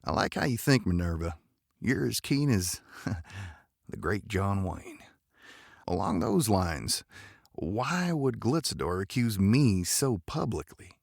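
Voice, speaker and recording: A man playing a gravelly, courtly space cowboy. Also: fairly low pitch, sing-song, slow, dry recording, studio quality, heavily acted. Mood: thoughtful. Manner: drawling folksy cowboy idiom, gentlemanly.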